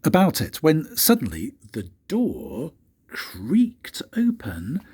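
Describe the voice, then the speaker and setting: An older man reads a children's story like a grandfather, in an expressive, deliberate way with dramatic pauses and a husky voice. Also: fairly high pitch. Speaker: grandfather reading stories for his grandchildren.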